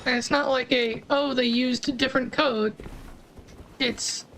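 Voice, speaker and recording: A woman streamer talks through her gameplay in a relaxed, rambling way, often restarting her sentences. Her voice sits high with a crackly, gravelly texture. A faint hiss runs under the recording. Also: measured pace. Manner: chatty, explains game mechanics with restarts. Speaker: gaming streamer. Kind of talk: casual gameplay commentary.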